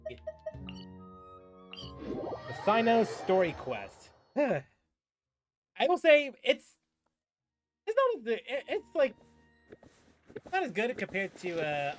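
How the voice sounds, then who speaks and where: A man giving casual live stream commentary as a game streamer, halting and repeating his phrases. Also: high-pitched.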